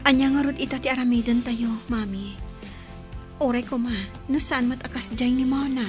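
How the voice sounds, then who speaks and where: A woman's voice: fairly high pitch, steady tone, moderate pace, darkish tone, faint music behind, lightly acted. A woman with an Ilocano accent voicing an anxious, pleading child of separated parents in a radio drama. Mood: worried.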